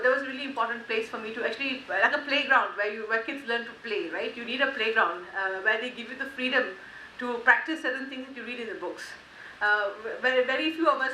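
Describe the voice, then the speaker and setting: A woman's voice: fairly high pitch, pushed and bright. A woman from Malaysia giving a conference talk, conversational with frequent fillers. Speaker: conference speaker. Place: conference hall. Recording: echoey hall, faint hiss.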